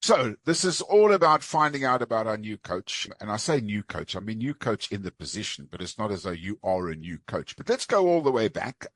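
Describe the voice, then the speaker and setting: A man's voice: on the low side, sing-song, fairly quick, hardly any pauses, a bit bright, dry recording. A man hosting a sports podcast, asking long, rambling questions with many asides. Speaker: podcast host. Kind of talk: sports interview.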